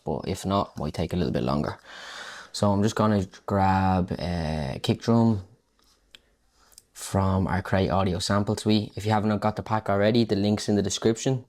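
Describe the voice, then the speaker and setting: A man's voice: deep voice, a little mellow. A man giving a casual, unscripted music production tutorial, thinking aloud informally as he works. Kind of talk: casual unscripted tutorial talk while working.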